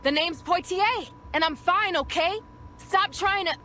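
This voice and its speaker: A woman's voice: very high-pitched, measured pace, sharp tone, slight background noise, full character voice. A woman playing a brash, stubborn, hot-headed police officer with a blunt, defiant manner.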